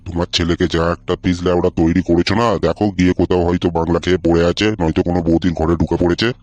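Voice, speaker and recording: A man's voice: deep voice, completely flat delivery, rapid-fire, a little mellow, no echo, lo-fi sound, close to an everyday voice. A man voicing a foul-mouthed middle-aged husband in a comedy cartoon, coarse and mocking.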